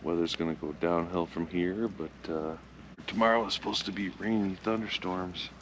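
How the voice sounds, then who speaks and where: Man in his fifties, very low voice, easygoing fishing-trip narration, recorded outdoors on a camera in a boat with clear lake and wind noise. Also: normal speed. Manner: relaxed, matter-of-fact, upbeat when a fish bites. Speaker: fishing vlogger. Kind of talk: casual vlog narration. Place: small aluminum boat on an open lake, outdoors. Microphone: action camera mounted in the boat.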